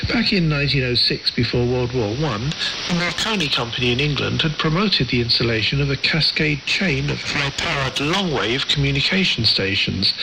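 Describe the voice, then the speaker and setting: Creaky-voiced male radio presenter over shortwave static. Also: mid-range voice. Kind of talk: scripted narration of radio history. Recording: noisy shortwave radio reception.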